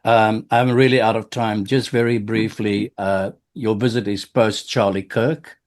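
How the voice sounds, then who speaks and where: A man's voice: on the low side, steady tone, a little slow, a little mellow. Male interviewer, roundabout phrasing. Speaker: podcast host, interviewer. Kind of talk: conversational interview questioning.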